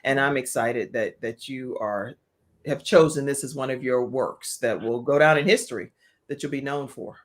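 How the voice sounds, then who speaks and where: A woman's voice: rumbling low, moderate pace. An American woman hosting a live online talk show, chatting in an effusive, encouraging way and addressing viewers by name.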